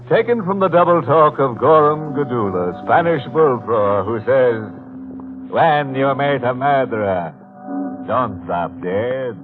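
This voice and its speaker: An actor playing a gleefully macabre horror show host on 1940s radio, sardonic and mock-sinister. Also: male voice, medium pitch, phone-quality sound. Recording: thin old radio broadcast with music.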